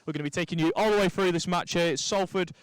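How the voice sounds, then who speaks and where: A young man from St Helens, giving lively live rugby commentary with chatty asides. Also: high voice, racing, smooth. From St Helens, England. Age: young adult.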